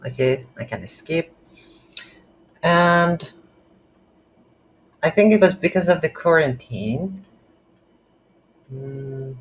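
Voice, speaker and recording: A tutorial instructor thinking aloud in a halting, unscripted way while walking through a screen-recorded coding lesson. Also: mid-range voice, animated. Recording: light background noise.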